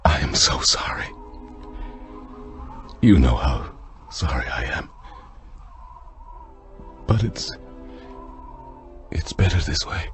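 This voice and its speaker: An actor playing a somber, remorseful grown son in a radio drama, speaking in a hushed, halting way. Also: male voice, rumbling low, lively intonation, full character voice. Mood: mournful, resigned. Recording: somber music behind the voice.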